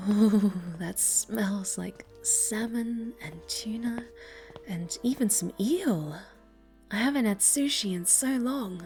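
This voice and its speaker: A woman plays a young cat girl in an unhurried ASMR roleplay, with faint music behind her. She is haughty and pouty, a spoiled brat who stammers when flustered and has a soft, needy side underneath. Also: a little high. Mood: curious, haughty.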